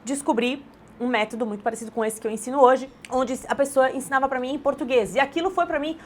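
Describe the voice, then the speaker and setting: A Brazilian woman in her thirties speaks to camera as an English teacher, with a high, light voice. She moves through her points quickly and in an animated, storytelling way. A faint hum sits behind her. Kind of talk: direct-to-camera sales talk.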